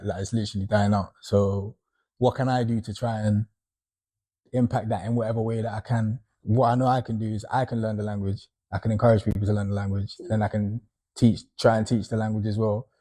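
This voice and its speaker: A man speaks in a low, mellow voice. He talks earnestly and reflectively in a relaxed group conversation, dropping in the odd "innit". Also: a little fast. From Britain. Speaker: panel guest. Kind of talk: casual discussion.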